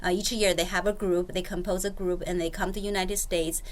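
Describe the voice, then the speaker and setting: A woman's voice: on the low side, flat delivery, brisk. A woman from Beijing with a Mandarin Chinese accent, a college director talking plainly as a guest on a radio interview. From Beijing, China. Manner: plain, practical, with frequent restarts. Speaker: director of distance learning, guest.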